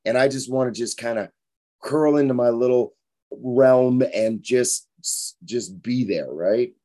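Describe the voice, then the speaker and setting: A hoarse, tired man in his forties, hosting a fitness podcast in a frank, rambling motivational monologue. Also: medium pitch, a little slow, dark tone. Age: 40s. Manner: rambling, frank, repeats himself.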